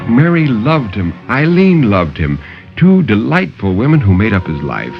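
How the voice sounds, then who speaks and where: A man's voice: fairly low pitch, huge pitch swings, normal speed, gravelly, faint music behind. A man narrating as a storyteller in dramatic 1950s radio horror style. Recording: muffled 1950s radio broadcast.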